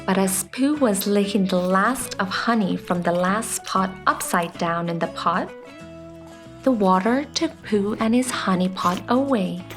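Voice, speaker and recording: Slow-paced woman telling a bedtime story. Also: medium pitch. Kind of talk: scripted children's bedtime story narration. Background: soft music.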